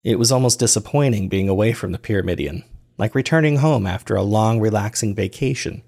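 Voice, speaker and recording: A man narrating a first-person audio drama as a weary, reflective man, measured and introspective in his storytelling. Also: fairly low pitch, vocal fry, a little mellow. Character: weary man recounting strange events, reflective and uneasy. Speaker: narrator and host of a fiction podcast.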